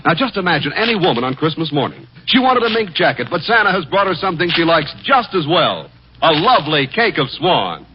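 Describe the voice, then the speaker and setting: A man announcing on 1940s radio, delivering an emphatic, polished commercial pitch. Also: big pitch swings, brisk. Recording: noisy, dull vintage 1940s radio recording.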